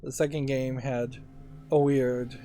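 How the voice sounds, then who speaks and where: A man's voice: medium pitch, level tone, takes it slowly, dark tone. A man giving casual, musing, analytical commentary as he plays a video game. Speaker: let's play host. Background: game music and voices.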